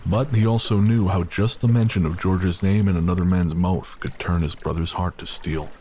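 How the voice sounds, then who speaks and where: A man's voice: low-pitched, steady tone, normal speed, mellow, slight background noise. A man narrating a scripted audio drama as its storyteller, recorded with ambience behind him.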